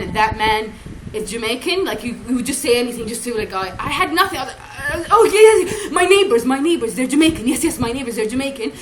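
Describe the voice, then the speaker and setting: Young woman, vlogger, chatty and giggly, high voice, quick talker, noisy recording. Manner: chatty, giggly, animated, acts out quoted voices. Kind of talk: rambling storytelling monologue.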